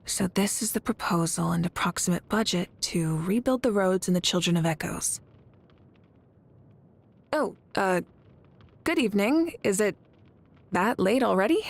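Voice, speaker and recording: An actress playing a confident, warm fire goddess and leader in a video game, speaking in a composed, measured, assured way. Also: female voice, medium pitch, lively intonation, creaky. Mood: friendly, easygoing. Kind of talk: scripted video game character lines. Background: background music.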